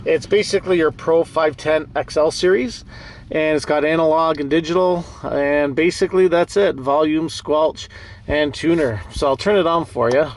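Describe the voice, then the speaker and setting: A man hosting a casual off-road vehicle vlog, talking through gear in a plainspoken, conversational way. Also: mid-range voice. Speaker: host of an off-road vehicle channel. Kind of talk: casual product demo vlog.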